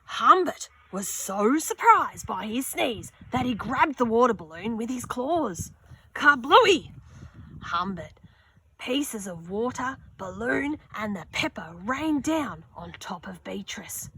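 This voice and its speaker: A young woman reading a children's picture book aloud outdoors, slow and expressive, with gasps and little asides. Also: fairly high pitch, a little hiss or hum, low-quality recording. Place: outdoors on a sunny wooden deck.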